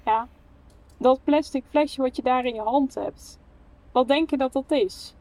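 A woman's voice: high-pitched, unhurried, darkish tone. A young woman playing an idealistic, chatty sister in naturalistic, conversational radio play dialogue. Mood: teasing, sure. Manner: conversational, earnest. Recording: faint station ambience noise.

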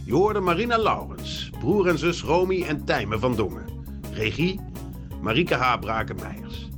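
A man's voice: medium pitch, very animated, moderate pace. A man narrating scripted titles and credits for an audio production. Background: guitar music.

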